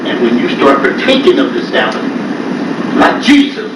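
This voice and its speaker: A man with a Guyanese accent preaching a call-and-response sermon, breaking into sudden shouts. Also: mid-range voice, wildly swooping pitch, moderate pace. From United States. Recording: echoey room from far off, loud noise.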